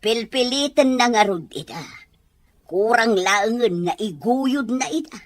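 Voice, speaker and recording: A woman's voice: fairly high pitch, animated, measured pace, a little hiss or hum. A woman playing a worried, fretful older mother of grown sons in an Ilocano radio drama, speaking emphatically.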